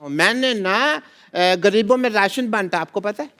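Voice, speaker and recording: Casting: boastful buffoon doctor, very high voice, English flourishes, live sketch comedy amid audience noise. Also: male voice, animated, measured pace, exaggerated voice. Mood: defensive, boastful. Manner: theatrical, Hindi peppered with English phrases. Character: bumbling, boastful comic male doctor, self-styled social worker. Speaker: comic sketch performer. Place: TV studio stage with live audience. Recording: noisy studio with loud audience.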